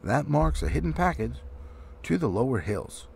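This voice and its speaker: A man's voice: mid-range voice, sing-song, normal speed, creaky, a little mellow. A man reading a storybook aloud expressively, as an amateur audiobook reader. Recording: faint background noise.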